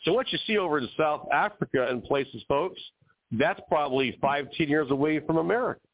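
A man's voice: fairly high pitch, lively intonation, brisk. A man hosting an opinionated call-in radio show, talking emphatically and addressing listeners directly. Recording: narrow phone-like radio sound.

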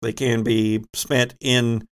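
A man runs a tabletop role-playing game as host. His voice sits at a middling pitch with a noticeable fry. He explains as he goes, stopping to correct himself. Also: little pitch movement, slow pace.

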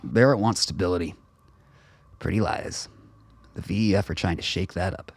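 A gruff old father played by a male actor in a radio play. His voice sits deep and is recorded very dry. He speaks tersely, swears, and sounds guarded and distrustful. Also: normal speed, dry recording, full character voice. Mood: wary. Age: older. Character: gruff, suspicious old father on a covert mission.

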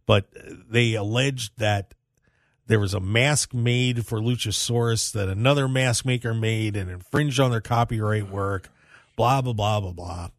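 A man's voice: fairly low pitch, dry recording, clean studio sound. A man hosting a radio show, talking casually about sports news in a rambling way and repeating phrases for emphasis.

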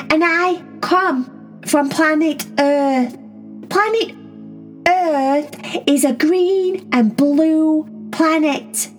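A woman voicing an excitable, chatty young female cat who gushes and rambles in a storybook. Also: sits high, pitched above the performer's own voice. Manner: gushing, breathless, rambling.

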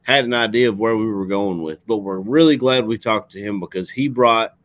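A man's voice: fairly low pitch, brisk, a bit bright, dry recording. A man with a Kentucky accent hosting a casual talk show, speaking earnestly and in a rambling way. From Kentucky, USA. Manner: earnest, rambling, frequent "you know what I'm saying". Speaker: show host. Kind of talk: casual podcast chat.

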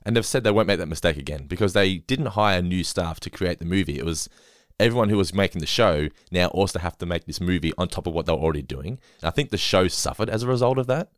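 A man hosting a casual podcast chat, talking quickly and laughing often. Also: low-pitched, animated, dry recording, studio quality. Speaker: podcast host.

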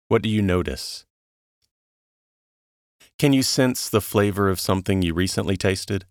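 A man with a low voice leads a guided relaxation. He speaks very slowly and calmly, with soothing, measured instructions and long pauses. The sound is dry, with no room around the voice. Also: dry recording. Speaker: guided meditation narrator. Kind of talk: slow guided relaxation narration.

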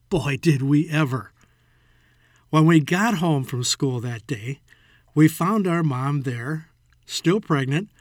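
A man's voice: fairly high pitch, no echo, studio quality. A man in his sixties with an American accent, reading his own written childhood memoir as a scripted narration. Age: 60s.

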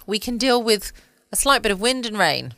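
An English woman chatting informally and cosily as the host of a relaxed bedtime podcast. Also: a little high, moderate pace, dry recording. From United Kingdom. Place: at home, indoors.

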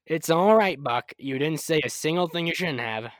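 A young man playing a proud, excitable scientist-inventor in old-time radio serial style, with a fussy, halting, stammering manner. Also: fairly high pitch, no echo, clean studio sound, natural voice. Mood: pleased, proud. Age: young adult. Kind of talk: 1930s radio serial acting.

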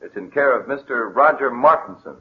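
A man's voice: medium pitch, expressive, brisk, narrow, tinny audio, natural voice. A man acting a businesslike delivery man in a 1940s radio drama. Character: businesslike male delivery man collecting payment. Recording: thin, noisy vintage radio recording.

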